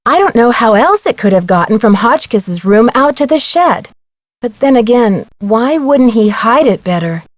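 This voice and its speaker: Actress doing teen girl detective from a video game, high earnest voice, dry close sound. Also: lively intonation, moderate pace, dry recording, natural voice. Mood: puzzled. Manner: earnest, explanatory, neatly spoken. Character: bright, inquisitive teenage girl amateur detective.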